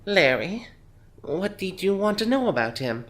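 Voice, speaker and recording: A man's voice: high voice, sing-song, unhurried, fairly bright tone, full character voice. A man playing a chatty, evasive middle-aged grandmother in an audio drama, speaking hesitantly and conversationally. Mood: flustered, friendly.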